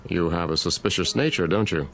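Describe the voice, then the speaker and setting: A man's voice: low-pitched, fairly bright tone, a little hiss or hum. A man playing an unflappable, formally polite small-town mayor with veiled menace in an audio drama. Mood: smoothly courteous. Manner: formal, courteous, measured.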